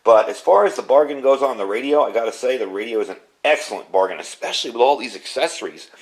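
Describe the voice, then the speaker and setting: A man's voice: mid-range voice, poor audio. A middle-aged American man talks as a hobbyist reviewer. His delivery is informal and conversational, with an enthusiastic tone and a mid-range voice. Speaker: product reviewer, amateur radio hobbyist. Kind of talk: casual product review to camera.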